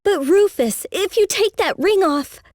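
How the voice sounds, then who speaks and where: A woman's voice: very high-pitched, lively intonation, measured pace, a little mellow, dry recording, studio quality. A woman voicing an earnest, resolute young princess in a video game cutscene. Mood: urgent.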